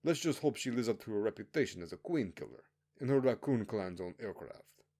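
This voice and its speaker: A man's voice: fairly low pitch, expressive, brisk, warm tone. A man voicing a contemptuous military commander in an audiobook story, barking orders in a scornful, commanding way.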